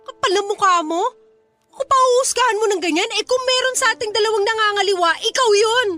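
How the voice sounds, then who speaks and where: A woman's voice: very high-pitched, a little fast, sharp tone, faint music behind. A woman playing a troubled, defiant, pregnant young woman with an emotional, pleading manner in a Tagalog radio drama, with a Filipino accent. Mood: hurt, furious. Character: troubled pregnant nineteen-year-old woman, defiant and wounded.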